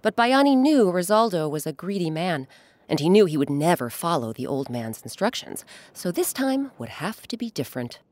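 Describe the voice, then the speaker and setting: Woman narrator, children's storytelling, low-pitched, very lively intonation, dramatic pauses, music behind. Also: no room sound. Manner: expressive, dramatic pauses, addresses young listeners. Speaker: host and narrator.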